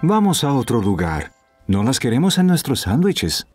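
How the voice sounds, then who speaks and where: A man voicing a kind, patient young father in a children's cartoon dub, gentle and reassuring. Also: mid-range voice, sing-song, normal speed, faint music behind, lightly acted.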